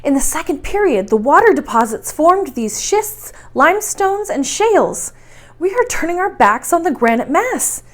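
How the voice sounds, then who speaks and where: An earnest young explorer from a storybook, played by a woman reader. The voice rises high and swings widely as he makes his emphatic protests. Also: big pitch swings, normal speed. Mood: excited. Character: earnest, weary young male explorer, the professor's nephew. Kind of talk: storybook character voice.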